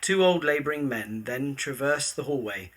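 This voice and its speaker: A man's voice: medium pitch, lively intonation, normal speed, a bit bright. A man giving scripted narration of a story, setting each scene in the present tense.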